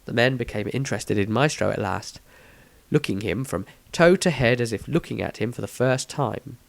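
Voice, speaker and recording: A man's voice: medium pitch, animated, moderate pace, vocal fry, no echo. A man reading a scripted fantasy story aloud as narrator, with a dramatic storytelling manner. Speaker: author reading own story.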